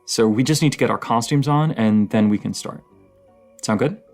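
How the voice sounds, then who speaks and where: A man playing a creaky-voiced, dorky, playful boyfriend. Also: medium pitch, moderate pace, a little mellow, soft background music, lightly acted. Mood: excited, playful. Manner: rambling, giggly, hesitant, teasing. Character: dorky, playful young romantic partner, horror film nerd. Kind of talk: intimate audio roleplay addressed to the listener.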